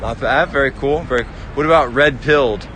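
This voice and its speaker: A man hosts a casual chat in a medium-pitched voice. He teases lightly and keeps prompting with questions. The recording is noisy, with an outdoor city sound behind him. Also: a little fast. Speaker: host. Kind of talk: casual chat with his wife and viewers.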